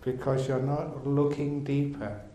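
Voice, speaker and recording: A man giving a Buddhist teaching as a monk, speaking in short, unhurried phrases with pauses between them. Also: medium pitch, level tone, warm tone, slight background noise. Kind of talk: dharma talk with an interpreter. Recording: roomy echo.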